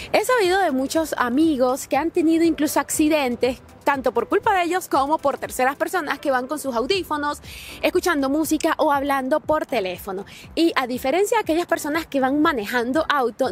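A young woman vlogging outdoors in a park, talking straight to her viewers in a lively, chatty way about rules for visitors. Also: high voice. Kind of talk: advice vlog to camera. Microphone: small handheld clip mic.

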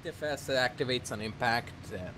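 Man, mid-pitched voice, sweary, halting, casual gamer talk, faint game noise. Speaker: video game player. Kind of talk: casual gaming chat with a teammate.

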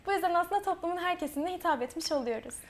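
Quick, high-voiced young woman guest. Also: animated. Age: young adult. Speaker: guest, student author and association vice-president. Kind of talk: interview answers.

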